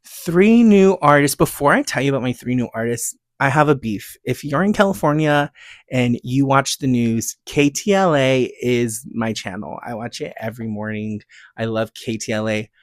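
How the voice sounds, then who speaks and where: A man's voice: mid-range voice, moderate pace, no room sound, clean studio sound. An American man hosting a podcast, talking casually and emphatically in a gushing, rambling way. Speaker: podcast host. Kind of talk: casual chatty solo podcast talk, music recommendations and rants.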